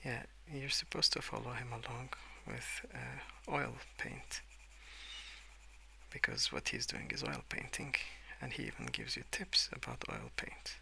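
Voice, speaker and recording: Male ASMR host: hushed, whispery murmur with very crisp bright edge, unhurried rambling delivery. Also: medium pitch, wildly swooping pitch, low-quality recording. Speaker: host of an ASMR colouring channel. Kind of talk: soft-spoken ASMR rambling. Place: indoors at a wooden desk.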